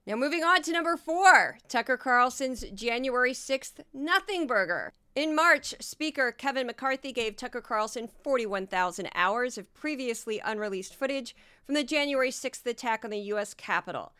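Woman podcaster doing news commentary, kinda sarcastic, voice going hoarse, high and clear. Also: expressive, moderate pace, pushed and bright. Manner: sardonic, talks to listeners directly. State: losing her voice. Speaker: podcast host.

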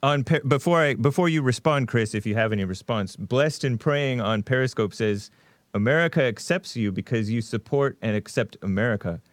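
A man hosting a call-in show, moving briskly between the caller and the guest. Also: medium pitch, no echo. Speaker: show host.

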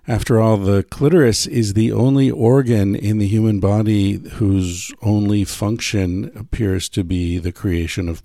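A man hosting a podcast, talking in a relaxed, musing way with many filler words, reading out listener intros and an ad. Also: sits low, creaky, slightly rough, no echo, clean studio sound. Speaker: podcast host. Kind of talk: casual podcast monologue with ad read.

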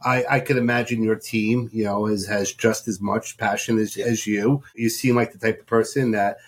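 A man's voice: lowish voice, steady tone, normal speed. A man hosting a casual interview, asking chatty, rambling questions with some emphasis. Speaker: podcast host, interviewer.

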